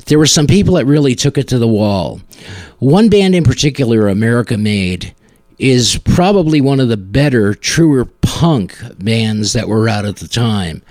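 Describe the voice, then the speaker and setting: A man's voice: medium pitch, expressive, moderate pace, darkish tone, dry recording. A man hosting a music podcast, talking casually and conversationally between songs, rambling a little as he searches for words. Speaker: podcast host.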